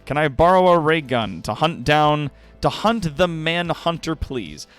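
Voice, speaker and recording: A man's voice: fairly high pitch, animated, measured pace, a bit bright, faint music behind. A man voicing a large, self-serious, hapless man-hunter in a pompous, declamatory way for a fan fiction reading. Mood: pleading.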